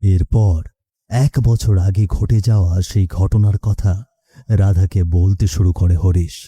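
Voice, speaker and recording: A man's voice: low-pitched, fairly even intonation, slow pace, warm tone, slightly rough, no echo. A man narrating a scripted horror story as a storyteller.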